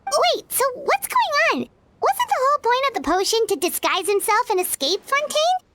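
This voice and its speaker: A woman voicing a small, excitable childlike female character in a video game. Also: extremely high pitch, moderate pace, slight background noise, heavily acted. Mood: indignant.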